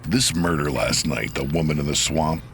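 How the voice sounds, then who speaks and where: Audio drama detective, rock-bottom pitch, earnest, hesitant, modest. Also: male voice, normal speed, gravelly, fairly bright tone, slight background noise, heavily acted. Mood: puzzled, brooding. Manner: hesitant, restarting. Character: modest, earnest homicide detective turned demon hunter.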